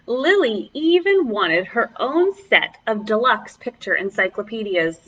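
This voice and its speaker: A woman's voice: on the high side, sing-song, a little slow, fairly bright tone, recorded from a distance, dull audio, a little hiss or hum. A woman children's librarian leading a preschool storytime, reading aloud and chatting encouragingly to the children as her friends.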